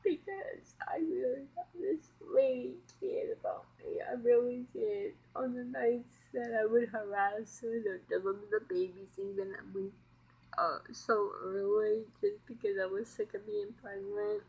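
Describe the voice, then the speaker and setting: A middle-aged woman talks through an emotional personal confession, rambling and upset. Her voice is husky and breathy at an ordinary middle pitch. She keeps it hushed at home at night so she won't wake anyone. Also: unhurried, muffled recording. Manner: upset, rambling, keeping quiet so as not to wake others. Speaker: vlogger.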